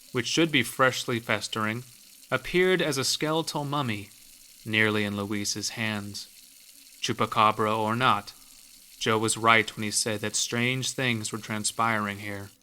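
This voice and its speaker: Low, forceful male horror narrator. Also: a little hiss or hum, low-quality recording. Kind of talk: scripted horror story narration.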